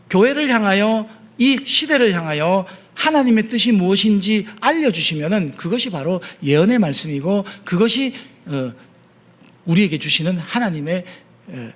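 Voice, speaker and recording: Korean pastor guy w/ gyeongsang accent, kinda high voice, preaching earnest, lots of uh pauses. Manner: earnest preaching with frequent hesitation fillers. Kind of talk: sermon. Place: church sanctuary.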